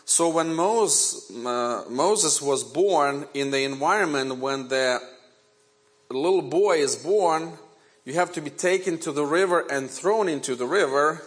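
A man in his forties with a Ukrainian accent, a native Ukrainian speaker, preaching a sermon woven with his own testimony in an earnest, measured way. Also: fairly high pitch, a bit bright. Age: 40s. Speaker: guest preacher.

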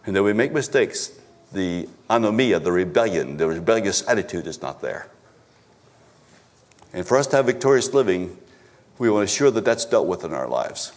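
A man preaching a sermon at a church service, swinging from emphatic exhortation to low, stammered asides. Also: expressive, normal speed. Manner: rises to emphatic exhortation, then drops low, with stammered repetitions.

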